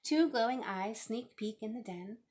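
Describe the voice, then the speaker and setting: A woman's voice: a little high, lively intonation, moderate pace, darkish tone. A woman reading a children's storybook aloud with theatrical emphasis, drawing out key words. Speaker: storybook reader. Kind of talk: expressive children's read-aloud narration.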